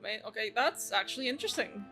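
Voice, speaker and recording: A woman's voice: fairly high pitch, lively intonation, fast, pushed and bright. A woman game streamer giving casual reaction commentary, chatty and musing as she goes. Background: background game music.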